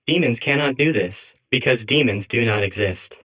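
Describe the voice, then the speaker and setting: A man's voice: medium pitch, normal speed, very noisy, lightly acted. A man voicing a suspicious demonic machine core in a video game, speaking in formal, insistent, stilted announcements. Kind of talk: comedic video game character acting.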